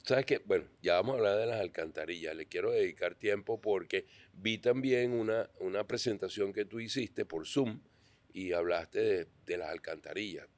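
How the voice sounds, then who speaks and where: Male podcast host, lower voice, dry studio sound, earnest with hesitant restarts. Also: moderate pace, dry recording. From Venezuela. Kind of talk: interview questions.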